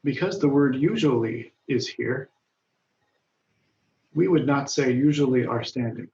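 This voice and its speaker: A man teaching an English grammar lesson, speaking slowly and deliberately, and raising his voice when he reads example dialogues aloud. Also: medium pitch, gravelly, darkish tone. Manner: patient, deliberate, lifts his voice when reading quoted lines. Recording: dull, roomy sound from a distance.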